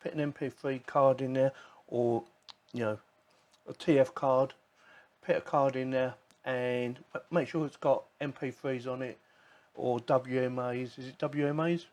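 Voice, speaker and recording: A man's voice: mid-range voice, steady tone, measured pace, darkish tone. A man giving a casual, informal product demonstration, chatting and thinking aloud as he goes. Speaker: tech product reviewer. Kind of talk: casual unboxing and demo commentary.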